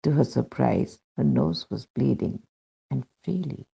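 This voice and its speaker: Male narrator, Indian accent, for devotional reading. Very creaky, lively pitch movement, lo-fi sound. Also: measured pace. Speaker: reader of devotional testimony. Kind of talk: scripted narration, read aloud. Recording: dull, muffled lo-fi recording.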